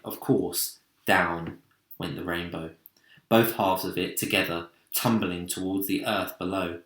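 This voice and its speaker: A man reading a children's story aloud in an expressive, theatrical manner. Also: mid-range voice. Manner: dramatic, theatrical. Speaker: storybook reader, teacher. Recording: roomy echo.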